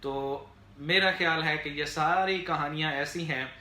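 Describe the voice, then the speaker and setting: A man with a Pakistani accent giving unscripted political commentary to viewers in a halting, explanatory way, with frequent filler phrases. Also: a little high, bright, forceful tone. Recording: echoey room, faint background noise.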